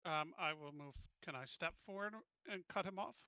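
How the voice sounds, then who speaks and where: A man chatting casually as a tabletop game player, hesitant and pausing mid-sentence. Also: fairly high pitch, sharp tone, smooth, no room sound, lo-fi sound.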